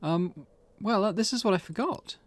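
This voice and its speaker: A man voicing an anxious, earnest teenage schoolgirl with a hesitant, halting way of talking, for a visual novel game. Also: sits high, expressive, a little hiss or hum. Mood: nervous.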